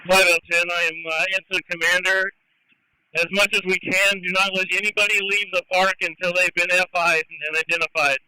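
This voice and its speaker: A man's voice: high voice, flat delivery, a little fast, pushed and bright, clean tone, no room sound, poor audio. A male police incident commander giving instructions over the radio, heard on a scanner.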